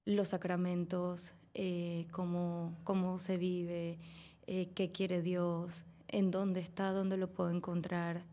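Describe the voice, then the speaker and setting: A woman's voice: lowish voice, dark tone. A woman, a guest, telling her own faith story in a hesitant, casual way with many fillers. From Venezuela. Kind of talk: casual personal testimony.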